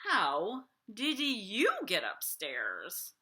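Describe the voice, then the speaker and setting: Lady doing a storybook night guard guy, puzzled, asking big questions, bright voice, slow. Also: medium pitch, wildly swooping pitch, full character voice. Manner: exclaiming, questioning. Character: puzzled male department store night watchman on patrol.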